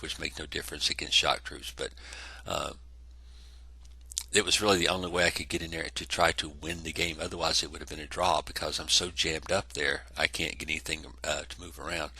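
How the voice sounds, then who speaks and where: A man's voice: rumbling low, pushed and bright, breathy edge, dry recording. A congested man casually narrating a tabletop wargame battle report, rambling with many fillers. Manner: rambling, self-correcting, lots of fillers.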